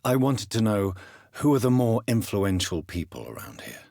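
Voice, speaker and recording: A tormented British gentleman vampire for a video game. His voice is very deep and husky, recorded close and dry. He speaks formally and articulately, with grave, stern intensity. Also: big pitch swings, normal speed, no echo. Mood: courteous, measured. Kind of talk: video game voice acting, scripted dramatic dialogue.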